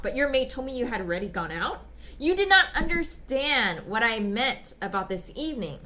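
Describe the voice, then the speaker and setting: A woman voicing a smitten, bashful gentleman writing polite, apologetic, ardent love letters in a dramatic reading. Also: high voice. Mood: pleading, flustered. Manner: polite, apologetic, ardent, old-fashioned phrasing. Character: smitten, bashful, gushing gentleman letter writer back from China.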